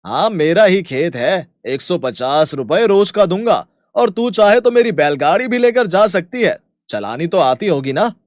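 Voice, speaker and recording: A man voicing a rich, bossy village farmer in a children's story, loud and direct. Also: high-pitched, animated, measured pace, dry recording, studio quality. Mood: businesslike. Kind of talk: acted dialogue in a children's moral story.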